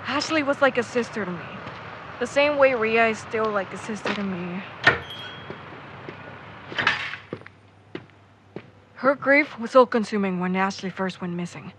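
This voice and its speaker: A woman's voice: a little high, normal speed, noisy background, natural voice. An actress playing a devout, defensive young woman in an audio drama, earnest, frustrated and sweary. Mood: frustrated, grieving. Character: devout, defensive young woman, grieving her missing friend. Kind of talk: naturalistic audio drama acting.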